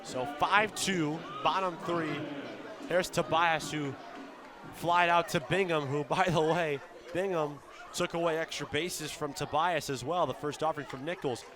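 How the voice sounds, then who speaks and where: A male sports announcer with a fairly high voice calls baseball live from the ballpark. His delivery is descriptive and fluent, in classic radio play-by-play style. Crowd noise is clearly audible behind him. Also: a little slow. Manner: fluent descriptive calling, building to excited shouts on big plays. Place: outdoor baseball stadium broadcast position.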